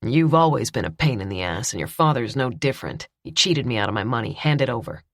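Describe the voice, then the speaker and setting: A woman voicing a belligerent criminal man in an audiobook, speaking a little lower than her own voice. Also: lively intonation, quick pace, no echo, clean studio sound, heavily acted. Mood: sneering. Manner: sneering, belligerent. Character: menacing grown man, a nasty criminal.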